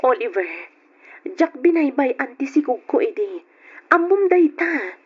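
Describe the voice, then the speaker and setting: High-pitched young radio-drama wife. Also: female voice, big pitch swings, a little slow. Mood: gentle, reassuring. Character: expectant wife, soft, reassuring, attached to her job. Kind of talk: old radio drama acting. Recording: dull lo-fi radio drama audio.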